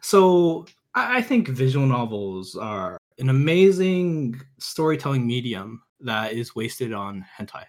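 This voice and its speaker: Earnest, halting man on a podcast. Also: medium pitch, big pitch swings. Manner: earnest, opinionated, halting as he searches for words. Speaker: podcast guest, visual novel enthusiast. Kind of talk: casual podcast chat.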